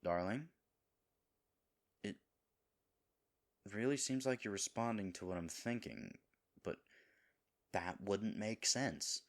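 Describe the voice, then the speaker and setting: A man's voice: lowish voice, slow, long pauses. A man playing a lovable, nervous himbo boyfriend in an intimate audio roleplay, earnest and rambling. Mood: confused, flustered. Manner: earnest, rambling, hesitant, dropping into breathy asides.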